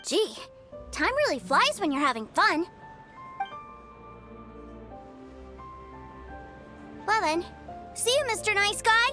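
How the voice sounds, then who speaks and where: A woman voicing a cheerful, sweet and eager little boy in a video game, who talks in an excited, childlike way. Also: very high voice, moderate pace, full character voice. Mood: fond. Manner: excited, childlike, wide-eyed. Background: game music.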